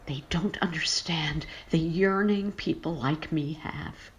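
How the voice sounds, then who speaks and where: A woman's voice: deep voice, slow. Woman acting a weary, indignant old bag lady. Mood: weary muttering. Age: older. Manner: plaintive muttering, theatrical indignation. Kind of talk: audio horror drama acting.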